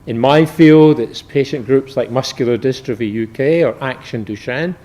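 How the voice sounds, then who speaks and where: A man, a medical researcher, giving a public academic lecture in a measured, informal explaining manner. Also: mid-range voice, warm tone.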